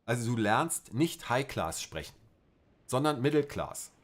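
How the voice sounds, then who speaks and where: A man's voice: medium pitch, very animated, measured pace. A middle-aged man with a Berlin accent chatting casually on a livestream, rambling with many fillers and restarts. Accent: Berlin German. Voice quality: rambling, filler-heavy, colloquial. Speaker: livestream host.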